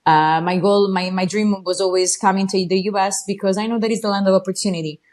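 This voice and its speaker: A woman's voice: mid-range voice, steady tone, fast, darkish tone. A woman from Italy with an Italian accent, a native Italian speaker, talking eagerly as a guest in a casual interview, with many fillers and restarts. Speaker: guest, horse trainer.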